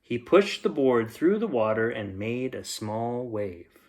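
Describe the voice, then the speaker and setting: A man reading a children's bedtime story aloud, expressively, with dramatic emphasis and pauses. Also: mid-range voice, big pitch swings, a little mellow.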